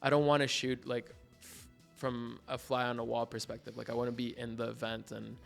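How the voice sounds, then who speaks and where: Laid-back Mexican-accented man, gravelly. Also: medium pitch, fairly quick, soft background music. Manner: relaxed, rambling, many likes and restarts. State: hungover. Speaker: graduating art student guest. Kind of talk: casual interview chat.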